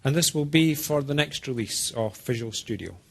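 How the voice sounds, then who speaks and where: A man's voice: medium pitch, expressive, vocal fry. Man with a half-Scottish, half-American accent. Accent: Scottish-American mix. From Scotland. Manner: deliberate, halting delivery, checks in with listeners. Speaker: software program manager giving a presentation. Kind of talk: informal technical talk to a small audience.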